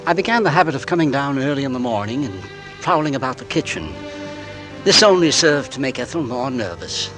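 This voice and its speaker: An actor playing an anxious, suspicious married gentleman in 1940s radio suspense style, formal and deliberate, with hesitant phrasing. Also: medium pitch, big pitch swings, close to an everyday voice. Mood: brooding, uneasy. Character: anxious, suspicious married gentleman narrating his own torment. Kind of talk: 1940s radio suspense acting and first-person narration. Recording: old radio recording with dramatic music behind.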